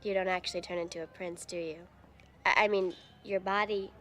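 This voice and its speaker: A woman's voice: lowish voice, steady tone, brisk, fairly bright tone, natural voice. A young woman playing a sarcastic, quippy teenage girl demon hunter in a television drama. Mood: questioning, concerned. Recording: TV episode audio with background music.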